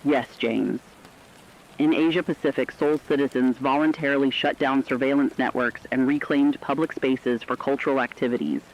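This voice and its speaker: Male correspondent played by a man for apocalyptic radio drama: medium pitch, measured, earnest, dull lo-fi broadcast sound. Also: slight background noise, lightly acted. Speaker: co-anchor in a fictional radio broadcast. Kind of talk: scripted radio news narration.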